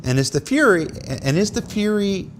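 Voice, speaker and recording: Man, Q&A host, very varied intonation, informal, clear background noise. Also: high voice, very animated, moderate pace. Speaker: panel host reading audience questions. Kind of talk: informal live question-and-answer hosting.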